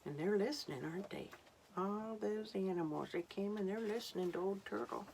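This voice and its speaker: A woman reading a story aloud to young children, dramatic and unhurried, dropping into hushed asides. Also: mid-range voice, vocal fry, a little hiss or hum, poor audio.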